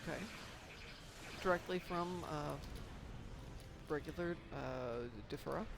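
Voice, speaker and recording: A man's voice: fairly high pitch, slow, vocal fry. A man chatting casually with other gamers in a group voice chat. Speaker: gamer on a group gaming podcast. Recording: voice chat with faint background noise.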